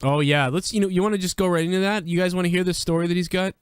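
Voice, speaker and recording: A man co-hosting a live talk show, excitable and given to swearing. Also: high voice, racing, smooth, dry recording, studio quality. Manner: excitable, swears at the game on screen. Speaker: co-host.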